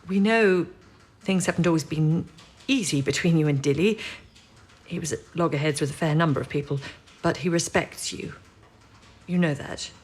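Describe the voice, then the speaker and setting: An actress playing a steady, supportive senior wartime codebreaker, composed and matter-of-fact, in an audio drama. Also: female voice, low-pitched, moderate pace, long pauses, lightly acted. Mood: respectful, earnest.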